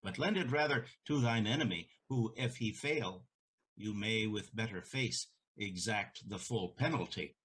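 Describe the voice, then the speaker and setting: An actor playing a proud, scornful elderly merchant in a Shakespearean audio drama, with a haughty, declamatory delivery. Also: male voice, fairly low pitch, a little slow, a bit bright, breathy edge. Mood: scornful, defiant.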